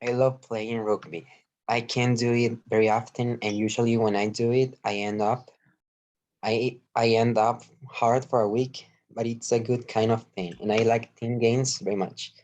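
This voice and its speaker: A man's voice: mid-range voice, level tone, normal speed, a little mellow. Male student reading, slightly muffled audio. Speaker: English student. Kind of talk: reading aloud in class. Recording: dull online-call audio.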